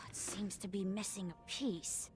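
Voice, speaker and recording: A woman voicing a calm female adventurer in a puzzle video game, speaking softly. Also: mid-range voice, slow, soft background music, natural voice. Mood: matter-of-fact.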